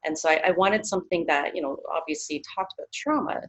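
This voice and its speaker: Low-voiced woman psychologist, thoughtful. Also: quick pace, rough recording. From Canada. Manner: thoughtful, many fillers and restarts. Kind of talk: interview guest, explaining.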